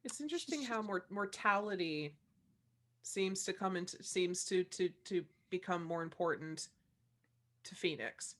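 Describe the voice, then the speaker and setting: A woman's voice: on the low side, unhurried, fairly bright tone. A woman talking casually as a cast member in a discussion of an audio drama.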